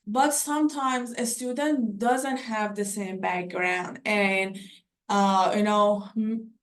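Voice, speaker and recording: A woman's voice: mid-range voice, steady tone, slow. Young woman w/ persian accent presenting, lots of ums and restarts, sounds far from the mic. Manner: hesitant, many fillers and restarts. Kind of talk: conference presentation.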